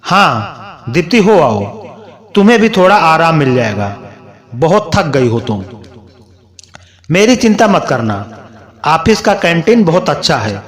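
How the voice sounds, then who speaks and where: A tender young husband and father from a storybook , read by a male narrator. He speaks slowly and earnestly, with a gentle, apologetic air. His slightly high male voice rises and falls expressively. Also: sing-song. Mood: reassuring, caring.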